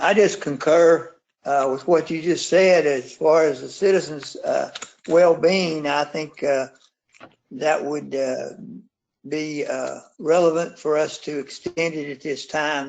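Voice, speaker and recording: A man speaking as a commissioner on a conference call, making halting, agreeable, unscripted remarks. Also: a little high, vocal fry, a little mellow. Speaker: county commissioner. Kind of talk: brief unscripted meeting remarks. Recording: muffled conference call audio.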